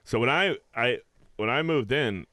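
A man's voice: medium pitch, animated, unhurried, bright, forceful tone, no echo. A forty-year-old man co-hosting a talk show in a casual, crude, conversational style.

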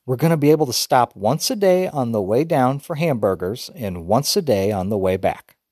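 A man voicing an organized mother who reads out a trip plan in a brisk, careful, list-like way. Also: medium pitch, expressive, darkish tone, husky, dry recording, studio quality. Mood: planning.

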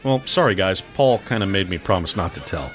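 Man playing a lively, cheeky voiceover guy. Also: deep voice, a little fast, faint music behind. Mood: coy, smug. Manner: coy, teasing. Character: cheeky, boastful male voiceover announcer. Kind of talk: comedy audio drama acting.

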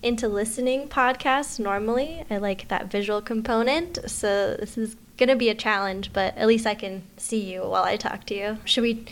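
Smooth-voiced young woman co-hosting a podcast. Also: on the high side, steady tone, moderate pace, hardly any pauses. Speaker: podcast co-host. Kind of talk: casual podcast chat.

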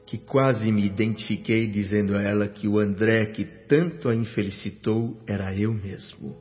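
A Brazilian actor playing a remorseful doctor, narrating his memories in a radio drama in a measured, reflective, solemn way. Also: on the low side, close to an everyday voice. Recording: dull lo-fi radio drama recording. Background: soft music.

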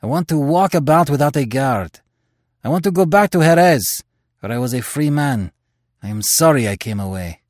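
A man's voice: mid-range voice, big pitch swings, measured pace, hardly any pauses, no echo, studio quality. A man voicing an angry, suspicious young Spanish recruit who demands answers passionately. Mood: agitated. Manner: passionate, shouting and pleading.

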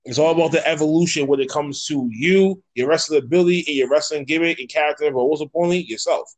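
A man's voice: a little high, fast talker, low-quality recording. A man in his thirties hosting a casual podcast interview, slangy and sweary, encouraging his guests. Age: 30s.

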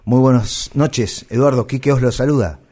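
A man's voice: medium pitch, normal speed, dark tone. An Argentine man hosting a radio show, introducing and asking questions of a guest. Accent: Argentine Spanish. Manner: friendly short interjections, asks questions. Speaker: radio host. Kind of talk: radio interview host.

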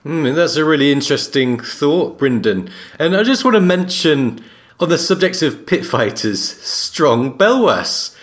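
A man with a fairly high, lively voice co-hosts a podcast discussion. He takes his time, musing and putting questions out for consideration. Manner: measured, musing, poses questions. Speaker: podcast co-host.

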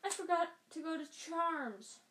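A woman voicing an anxious, flustered schoolgirl who squeaks and wails. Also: unhurried, a bit bright, slight background noise. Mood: dismayed.

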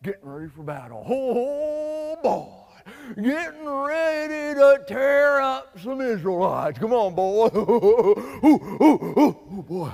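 A man's voice: extremely high pitch, big pitch swings, slow, gravelly, a little mellow, big-room sound, heavily acted. A man voicing a dopey, giggly, stammering Midianite soldier who is itching for battle, in a comedic Bible sketch. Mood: giddy, excited.

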